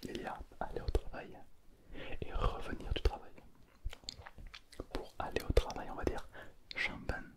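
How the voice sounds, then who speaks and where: A man's voice: unhurried, pauses often. A man whispering a playful, self-mocking ASMR roleplay as a pretend Mandarin teacher, close to the mic.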